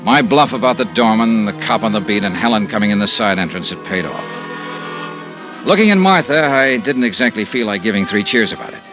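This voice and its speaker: A man's voice: fairly low pitch, animated, moderate pace, muffled recording, over music, lightly acted. An American man playing a tough, persistent, wry private investigator in a clipped 1950s radio drama style. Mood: wry, measured. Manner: clipped, pressing, hard-boiled.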